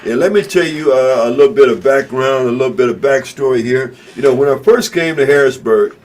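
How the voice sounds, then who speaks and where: A man's voice: medium pitch, a little fast, a little mellow. A man hosting an internet radio show, talking in a rambling, casual way with many fillers and repeated phrases. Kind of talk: casual talk-radio monologue.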